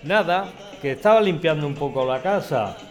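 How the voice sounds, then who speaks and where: Un hombre que interpreta a un vecino anciano, gruñón y testarudo, de trato brusco, en una radionovela. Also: male voice, a little high, expressive, normal speed, over music, close to an everyday voice. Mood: grumpy, dismissive. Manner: brusque, dismissive. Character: grumpy, stubborn elderly male neighbour living alone. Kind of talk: radio drama acting.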